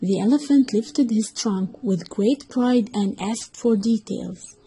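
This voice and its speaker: A woman's voice: mid-range voice, takes it slowly, mellow, slightly rough, poor audio. A woman reading a scripted children's story as the narrator.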